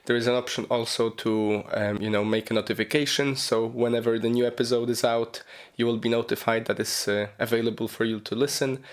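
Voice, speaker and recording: A man hosting a solo podcast, his voice raspy and hoarse from a cold, talking loosely with lots of ums and you-knows. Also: medium pitch, flat delivery, fairly quick, hardly any pauses. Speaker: podcast host. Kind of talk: casual solo podcast monologue.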